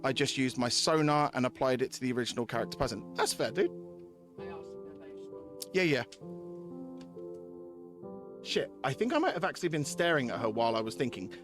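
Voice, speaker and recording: A man's voice: medium pitch, lively intonation, brisk, pauses often, music in the background. A man live-streaming a game, chatting casually with viewers, repeating himself and reading story text aloud. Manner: repeats phrases while addressing chatters.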